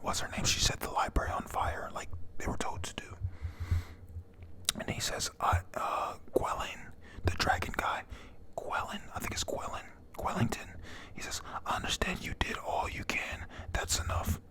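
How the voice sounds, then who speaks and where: A person whispering a casual, halting, slangy retelling of a manga chapter, panel by panel, reading out the characters' lines. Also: sharp tone. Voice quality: breathy unvoiced whisper. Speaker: manga reader narrating.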